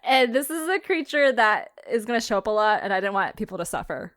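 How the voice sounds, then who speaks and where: A woman's voice: medium pitch, very animated, fairly quick, a bit bright, no echo, clean studio sound. A woman hosting a casual podcast chat, giggling often as she talks. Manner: giggly, laughs often while talking. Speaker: podcast host and creator.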